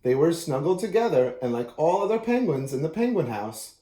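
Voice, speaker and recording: A man reads a children's picture book aloud in an expressive storytelling manner. His voice is fairly high and warm, with a mellow tone. The recording has a noticeable roomy echo. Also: a little fast. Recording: echoey room.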